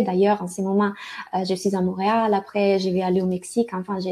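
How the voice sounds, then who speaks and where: A woman's voice: mid-range voice, dark tone. A woman from Italy with an Italian accent, presenting a live webinar as a life and business coach, hesitating with frequent fillers and restarts.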